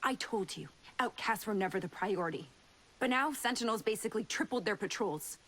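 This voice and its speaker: An actress playing a serious rebel fighter in a video game, giving matter-of-fact intelligence reports. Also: female voice, mid-range voice, lively intonation, brisk, fairly bright tone. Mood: grim. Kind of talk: video game cutscene acting.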